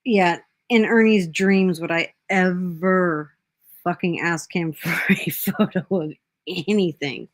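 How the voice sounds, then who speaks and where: A woman's voice: lowish voice, slow, no room sound. A woman hosting a casual live stream, blunt and swearing, laughing as she teases. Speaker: stream host.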